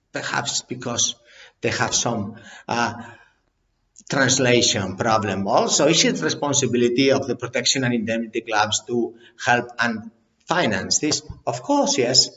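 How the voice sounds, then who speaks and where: A man who is not a native English speaker, a company CEO talking straight to the camera in plain, halting phrases and repeating words. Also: medium pitch, a bit bright, highs cut off. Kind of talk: direct-to-camera business talk.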